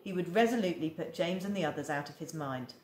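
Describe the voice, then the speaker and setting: Expressive woman reading a storybook. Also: lowish voice, quick pace, reverberant, slight background noise. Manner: expressive, acts out the dialogue. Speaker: teacher reading aloud to a class. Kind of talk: storybook read-aloud.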